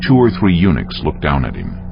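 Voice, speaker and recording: Very deep, gravelly male narrator. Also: huge pitch swings. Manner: solemn, measured storytelling. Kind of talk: scripted dramatic scripture narration. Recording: dull audio with music underneath.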